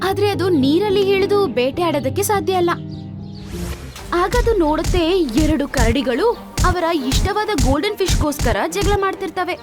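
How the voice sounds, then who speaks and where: High-pitched woman storyteller over music. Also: expressive, moderate pace. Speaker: narrator. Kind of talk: scripted children's story narration.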